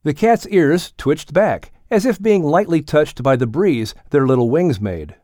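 Animated man narrating a children's audiobook. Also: a little high, unhurried, no echo, studio quality. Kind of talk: scripted children's story narration.

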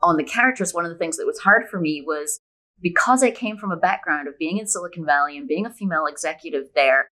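A woman's voice: fairly low pitch, fast, sharp tone. A middle-aged woman from Scotland answering interview questions as a guest, talking conversationally and reflectively, explaining things with examples. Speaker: guest, novelist.

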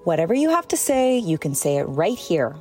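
A woman's voice: fairly low pitch, very animated, fairly quick, mellow, faint music behind. A woman playing an exasperated, scornful nun in a comedy audio drama, curt and sarcastic in her delivery. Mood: irritated. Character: exasperated, sharp-tongued pregnant young nun, scornful.

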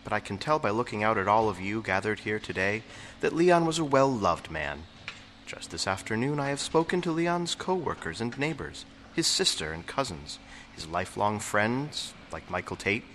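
A man playing a solemn, courteous memorial officiant in an audio drama, giving a formal, measured public address. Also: on the low side, big pitch swings, a bit bright, a little hiss or hum, low-quality recording. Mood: solemn, welcoming.

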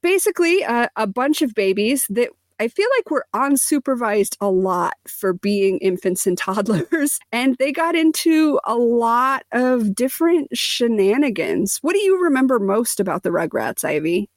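A woman's voice: on the high side, big pitch swings, moderate pace, hardly any pauses, no echo, clean studio sound. A woman in her forties co-hosting a podcast, speaking with lots of inflection in a casual, chatty way. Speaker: podcast co-host. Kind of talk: casual chat.

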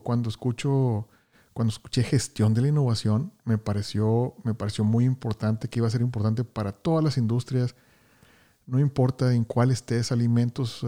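Upbeat male podcast host, mellow voice. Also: mid-range voice. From Houston, Texas, United States. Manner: energetic greetings, repeats words often. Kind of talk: podcast interview.

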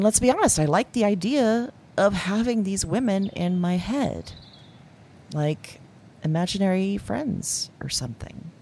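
An actress playing a lonely, awkward office worker, confiding haltingly and candidly in an audio diary drama. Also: female voice, on the low side, warm tone, slight background noise. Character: lonely, awkward woman office worker keeping a dream journal.

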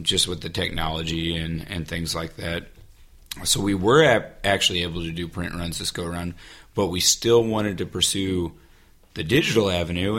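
A man in his thirties co-hosting a casual podcast, slightly hoarse from losing his voice, joking and laughing often. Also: low-pitched, fairly bright tone. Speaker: podcast co-host.

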